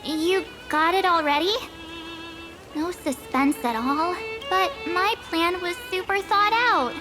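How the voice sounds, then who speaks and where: A cheeky, self-assured teenage girl with a very bright-spirited, high-pitched voice. She speaks slowly and informally, boasting in video game style over music. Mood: boastful, perky. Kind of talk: video game character acting.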